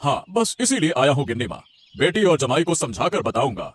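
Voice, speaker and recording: A man's voice: a little high, big pitch swings, a little fast. A man voicing a concerned elderly father-in-law in a Hindi cartoon, mediating and chiding the family. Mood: respectful. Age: older. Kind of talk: Hindi moral-story cartoon voice acting.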